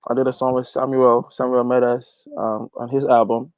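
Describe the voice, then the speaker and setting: Man chatting casually, halting, close dry sound. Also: medium pitch, flat delivery, clear, resonant tone, no echo. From Guyana. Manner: informal, halting, repeats phrases as he thinks. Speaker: interview guest, gospel reggae artist and youth pastor. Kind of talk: casual interview chat.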